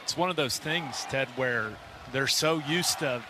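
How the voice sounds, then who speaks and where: A man's voice: mid-range voice, a little slow. A man chatting casually as a commentator on a live football radio broadcast. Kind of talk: casual live sports chat. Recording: noisy stadium broadcast.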